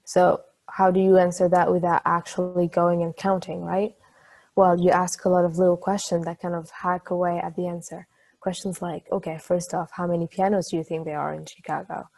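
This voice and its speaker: An affectionate, playful and brainy girlfriend played by a woman chats in a natural, gently teasing way, as in a stage drama. Her voice is fairly low and very soft-edged. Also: flat delivery, a little fast, vocal fry. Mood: explaining. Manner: casual, conversational, gently teasing. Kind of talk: conversational table-read acting.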